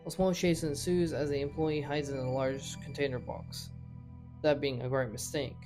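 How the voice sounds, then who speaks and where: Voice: mid-range voice, little pitch movement, normal speed, far from the mic. A narrator giving informal scripted video commentary, now and then stumbling over words. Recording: roomy echo under loud guitar music. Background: loud plucked guitar music.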